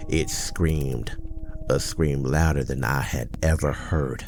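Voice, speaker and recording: An older small-town man, played by a male voice actor, recounts an eerie tale in an extremely low, gravelly voice. He takes his time, folksy and deliberate, pausing for dread in ASMR horror roleplay style. Music plays behind him. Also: a little mellow, exaggerated voice. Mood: haunted, dread-filled. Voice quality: very deep, gravelly. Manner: slow, folksy, deliberate dramatic pauses.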